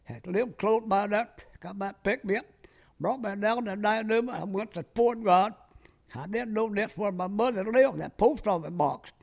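Creaky, high-voiced elderly American man. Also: normal speed. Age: very old, 90s. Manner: rambling, folksy storytelling with clipped, loose sentences. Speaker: war veteran being interviewed. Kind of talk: oral-history reminiscence.

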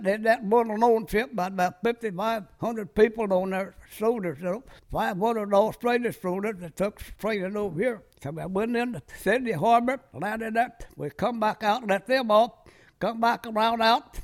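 A man's voice: sits high, moderate pace. A very old American man, a war veteran in his nineties, reminiscing in a rambling, folksy way with clipped, loose sentences. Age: very old, 90s. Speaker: war veteran being interviewed. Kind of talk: oral-history reminiscence.

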